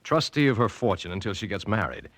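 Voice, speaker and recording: An actor playing a genial, calculating rich uncle in a 1940s radio drama, speaking with polite, measured urbanity. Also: male voice, on the low side, animated, no room sound, natural voice. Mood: suave, probing.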